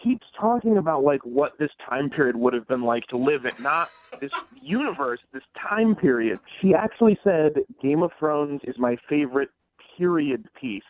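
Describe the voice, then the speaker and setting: A man calling in to a radio show, talking casually in a halting way. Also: fairly high pitch, lively intonation. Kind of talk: casual conversation. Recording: slightly dull phone-in audio.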